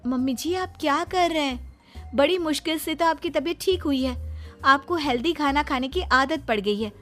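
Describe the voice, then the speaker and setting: A woman plays a young cartoon daughter-in-law with a clearly high voice. She is polite but firm, earnestly protesting and reasoning, over light plucked-string music. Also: normal speed, a bit bright. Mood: dismayed. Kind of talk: Hindi cartoon story acting.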